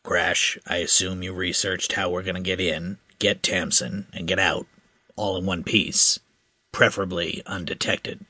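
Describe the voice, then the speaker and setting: A man voicing a calm, commanding starship captain with a dry, wry manner. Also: low voice, measured pace, slightly rough, dry recording, heavily acted.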